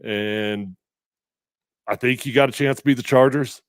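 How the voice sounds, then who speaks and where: A man's voice: mid-range voice, unhurried, smooth, dry recording. Middle-aged guy hosting sports talk, bit congested, dry close sound, fired up. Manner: energetic, conversational, emphatic with fillers. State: a little congested. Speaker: sports radio host previewing a game. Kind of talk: casual solo sports talk with live comments.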